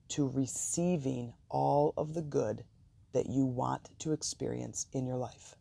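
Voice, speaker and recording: Slow, very dark gravelly guided meditation voice. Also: medium pitch, no room sound. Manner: slow, calm, soothing, deliberate pauses.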